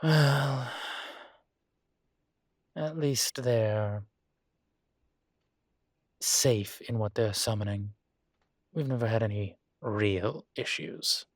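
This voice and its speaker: A man playing a vain, seductive yet politely awkward incubus demon professor in an intimate audio roleplay, speaking hesitantly with drawn-out phrasing. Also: lowish voice, no echo, clean studio sound, full character voice. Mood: wry, concerned. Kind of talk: intimate audio roleplay, one-sided conversation.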